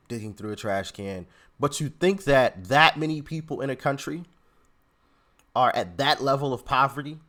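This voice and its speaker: Animated man reacting to clips. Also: medium pitch. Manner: animated, exclaiming, trails off and restarts. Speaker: reaction video host. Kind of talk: casual reaction commentary.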